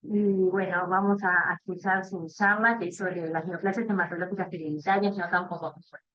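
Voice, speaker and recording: A woman from Uruguay, a hematologist facilitating a webinar, introducing the speaker and pausing often on "este". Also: lowish voice, fairly even intonation, highs cut off. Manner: hesitant, frequent "este" fillers and repeats. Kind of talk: formal introduction.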